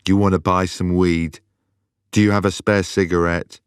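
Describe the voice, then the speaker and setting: A man's voice: low voice, level tone, moderate pace, smooth, no echo, clean studio sound, natural voice. A man voicing a male street beggar who keeps cadging change and cigarettes in an insistent, pleading way. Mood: wheedling.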